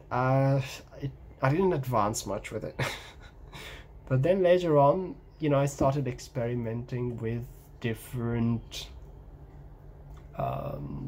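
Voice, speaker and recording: A young man with an Egyptian accent, whose first language is Egyptian Arabic, talks to camera in a home vlog, pausing often with ums and restarts. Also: mid-range voice, a little mellow, a little hiss or hum, rough recording. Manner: hesitant, many ums and restarts, gestures while talking. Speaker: language-learning vlogger. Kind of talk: casual talking-head vlog. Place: small room at home by a window.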